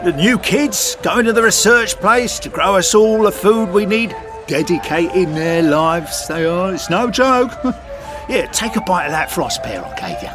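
A man's voice: high voice, expressive, unhurried, music in the background. An actor playing a brash, chatty male market stall trader in an audio drama. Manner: chatty street-trader patter, cheeky.

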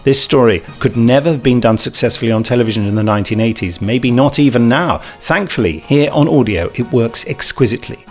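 A man's voice: medium pitch, brisk, few pauses, soft background music. A man hosting a podcast, chatting casually and reading out reviews in a jokey, stumbling way. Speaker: podcast host.

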